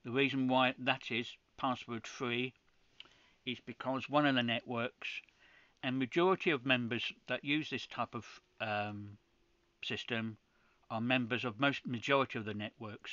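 A man's voice: medium pitch, steady tone, normal speed, sharp tone, no room sound, highs cut off. A man from the UK hosting a ham radio channel, walking viewers through a setup step by step in a practical tutorial, with frequent restarts. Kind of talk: screen-recorded tutorial.

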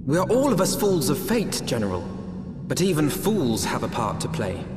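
Game role: courtly young noble, high voice, very expressive pitch, earnest. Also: male voice, over music. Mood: gentle, wry. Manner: formal, measured, courtly. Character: earnest, well-bred young male noble. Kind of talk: dramatic video game acting.

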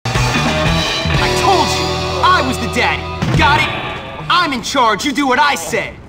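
An actor voicing a cocky, combative teenage schoolboy in a video game, brash, blunt and sarcastic. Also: male voice, very high-pitched, sing-song, slow, over loud music, exaggerated voice. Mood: triumphant boasting. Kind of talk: video game cutscene acting.

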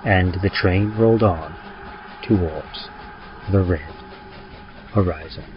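A man's voice: deep voice, slow pace, noisy background. A man reading a scripted horror story in a dramatic, old-time radio style. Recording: muffled recording with music. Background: music bed.

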